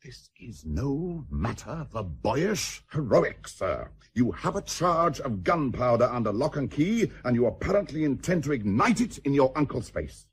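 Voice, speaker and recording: An actor playing an exasperated, dry-witted, formal lord with a rough-edged husky voice in a radio drama. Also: male voice, mid-range voice, sing-song, a little slow, hardly any pauses, exaggerated voice. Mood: stern, urgent. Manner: formal, sardonic. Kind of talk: British stage-play radio acting.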